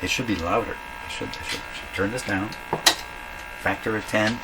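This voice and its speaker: A man giving an informal hands-on repair demonstration, thinking aloud in a halting way as he works. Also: lowish voice. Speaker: radio repair hobbyist demonstrating. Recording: loud mains hum and workshop noise.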